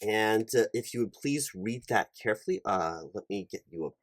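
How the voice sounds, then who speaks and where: A man with a fairly low voice, recorded close and dry. He plays a hiring manager in a one-sided ASMR interview roleplay, talking in polite, officious corporate phrases with a halting delivery. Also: animated, no echo. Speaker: performer playing a recruiting hiring manager.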